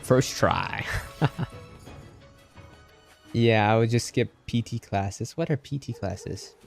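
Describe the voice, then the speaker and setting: A man's voice: medium pitch, takes it slowly, vocal fry. A young man chatting casually on a game livestream in a friendly, laid-back, talkative way. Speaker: game streamer. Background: game music.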